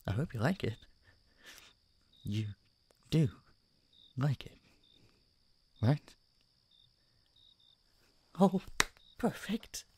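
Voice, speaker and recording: A man playing an obsessive, doting yet menacing masked kidnapper in an audio roleplay, speaking softly and tauntingly to his captive. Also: mid-range voice, big pitch swings, slight background noise. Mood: taunting, possessive. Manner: soft, taunting, condescending, drawn-out murmurs and whispers. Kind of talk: intimate audio roleplay monologue addressed to the listener.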